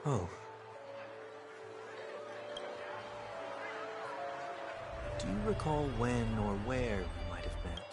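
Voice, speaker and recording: Game lead: male actor as a measured, courteous man on the run, low register, quietly burdened, music behind. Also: expressive, natural voice. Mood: calm, guarded. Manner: formal, measured, restrained. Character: composed, guilt-burdened gentlemanly male fugitive. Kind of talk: video game dialogue acting. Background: clear background music.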